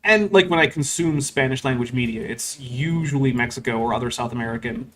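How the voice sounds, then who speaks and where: A man's voice: medium pitch, a little fast, a bit bright. A man hosting a stream, reading super chats and commenting on a clip in a casual, wry way, filling his talk with "like" and "um". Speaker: host.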